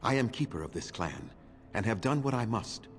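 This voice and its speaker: An actor voicing a stern, proud old male elf clan keeper in a video game, speaking in grave, measured, formal tones. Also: mid-range voice, lively intonation, faint music behind, close to an everyday voice.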